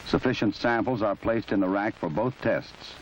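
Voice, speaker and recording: Male narrator, low register, measured and exact instructional read, dated film audio with faint background noise. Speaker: narrator of a forensic training film. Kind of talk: formal scripted documentary narration. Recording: muffled, noisy old 1970s film soundtrack.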